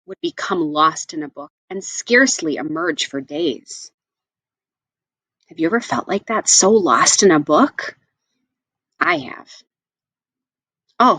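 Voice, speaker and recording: Animated woman reading a storybook aloud. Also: lowish voice, breathy edge, no room sound, rough recording. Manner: expressive, with deliberate phrasing and asides to listeners. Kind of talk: read-aloud storytelling for children.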